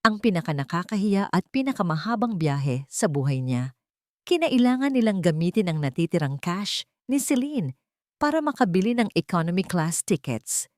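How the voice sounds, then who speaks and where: Woman, Filipino accent, low pitch, dramatic scripted storytelling, very dry sound. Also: no echo.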